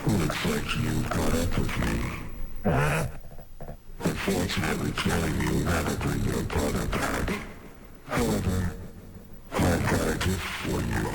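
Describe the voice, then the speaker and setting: A man's voice: deep voice, vocal fry, heavily acted. A man voicing a menacing, taunting skeleton villain in a fan comic dub, drawling with smug false friendliness. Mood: menacing, gloating. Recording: music playing under the voice. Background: music.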